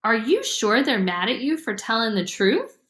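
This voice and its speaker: A woman voicing a gentle, wise and patient country mother in a storybook, with a measured manner. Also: fairly high pitch, sing-song. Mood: gentle concern. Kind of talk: storybook character voice. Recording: roomy, echoing room sound.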